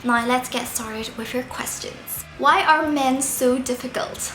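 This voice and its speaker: Woman vlogger in her twenties, squeaky and slightly husky from a sore throat, bright tone, roomy echo with faint music underneath. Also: a little slow. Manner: chatty, playful, giggly. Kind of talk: casual Q&A vlog. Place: indoors at home, seated by window light.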